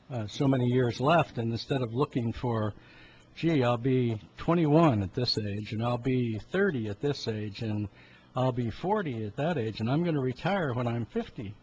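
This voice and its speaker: A man in his seventies giving an informal health talk in a halting, rambling way, often clearing his throat. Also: mid-range voice, a little mellow. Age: 70s. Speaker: health talk host, medical practitioner. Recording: dull lo-fi sound with background noise.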